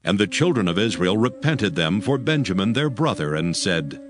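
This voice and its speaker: A man's voice: fairly low pitch, big pitch swings, moderate pace. A man narrating scripture in a solemn, scripted style for a dramatized audio Bible. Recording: music bed behind the voice. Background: background music.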